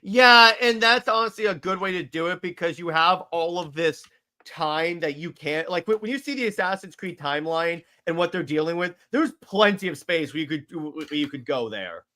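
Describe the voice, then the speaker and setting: A man with a fairly high, forceful, bright voice. He speaks as a podcast co-host in a loose, conversational discussion of movies and games. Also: expressive, normal speed. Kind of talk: casual film and game discussion.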